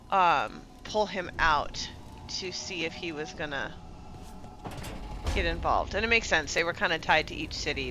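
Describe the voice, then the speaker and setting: Woman streamer chatting over background noise. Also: on the low side. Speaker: game streamer. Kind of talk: casual live gameplay commentary.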